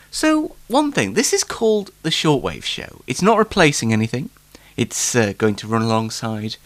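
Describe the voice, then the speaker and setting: A man's voice: a little high, fairly bright tone, no echo. A man hosting a casual solo late night talk show, rambling in a jokey, self-deprecating way, slightly stuffed up with hay fever.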